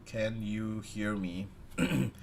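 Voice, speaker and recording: A man hosting a casual stock market livestream, his voice slightly raspy from a sore throat he is getting over, talking in a relaxed, chatty way with frequent "uh" fillers. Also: fairly low pitch, drawn-out words, a little hiss or hum.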